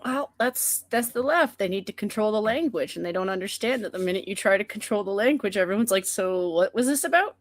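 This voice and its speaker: A woman co-hosting a casual talk stream, opinionated and quick to joke. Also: medium pitch, animated. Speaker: podcast co-host. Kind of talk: casual chat.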